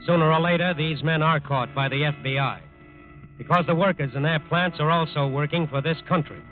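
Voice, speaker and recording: A man's voice: fairly high pitch, a little fast. A man delivering solemn, emphatic scripted narration in 1940s radio style. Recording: tinny 1940s radio recording with music.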